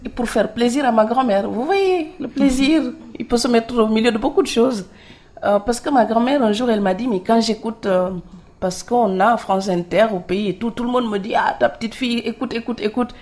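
Lively storytelling woman from Senegal. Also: a little high, moderate pace. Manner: lively storyteller, laughs easily, acts out quoted dialogue. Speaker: author, interview guest. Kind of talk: conversational interview.

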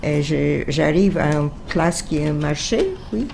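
Halting older woman with a gravelly voice. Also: sits low, a little mellow, slight background noise. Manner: halting, searching for words. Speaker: guest, war survivor. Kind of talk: testimony in a discussion.

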